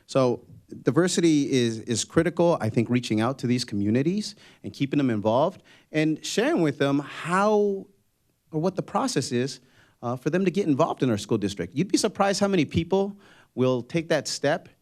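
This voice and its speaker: Casting: a native Spanish-speaking man, mid-pitched, firm and emphatic candidate. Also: animated, normal speed, a little mellow. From immigrant, first language Spanish. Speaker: board candidate. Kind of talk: candidate forum answers.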